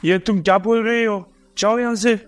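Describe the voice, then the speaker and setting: A man's voice: high-pitched, steady tone, normal speed, lightly acted. A man voicing a harsh, snobbish, jumpy woman schoolteacher in a cartoon horror story. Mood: irritated. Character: harsh, snobbish female schoolteacher, quick to scold, easily frightened.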